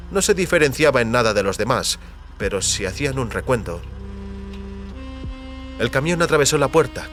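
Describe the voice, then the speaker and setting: A man with a Castilian Spanish accent narrating a dramatized audiobook in a measured, deliberate storytelling manner. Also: medium pitch, fairly bright tone. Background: music under the voice.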